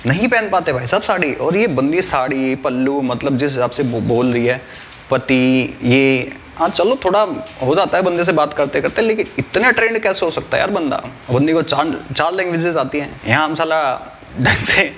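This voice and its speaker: A man's voice: a little high, moderate pace, a bit bright, slight background noise. A man in his thirties reacting to a clip in a blunt, heated way, swearing freely, recorded on a lapel mic in a small room. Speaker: reaction video host. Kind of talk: casual reaction commentary.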